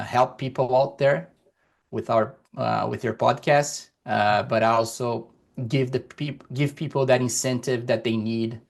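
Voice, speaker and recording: A man from Brazil, a native Portuguese speaker with a Brazilian accent, speaking as a podcast guest over a video call in a deliberate, encouraging way with many fillers. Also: medium pitch, vocal fry. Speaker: guest, security executive. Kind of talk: podcast interview. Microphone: remote video call.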